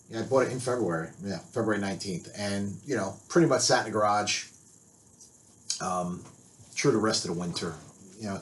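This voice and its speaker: A man's voice: sits low, a little hiss or hum, low-quality recording. A middle-aged man, a biker and author, telling a road-trip story to camera in a rambling, blunt way and swearing constantly.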